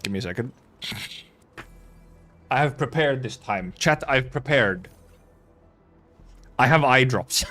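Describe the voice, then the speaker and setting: A man's voice: mid-range voice, animated, slow, pauses often, pushed and bright. Rambling, blunt male game streamer over music. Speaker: livestreamer. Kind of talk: casual gaming stream chat.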